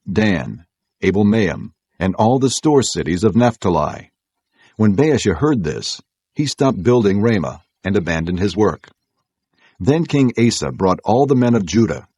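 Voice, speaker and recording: A man reading scripture as an audiobook narrator in a measured, formal way. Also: on the low side, mellow, husky, no room sound.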